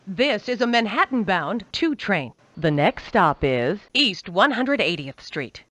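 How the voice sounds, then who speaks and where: A woman giving recorded train announcements in a measured, formulaic announcer delivery. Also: medium pitch, sing-song, a bit bright, dry recording. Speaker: transit announcer.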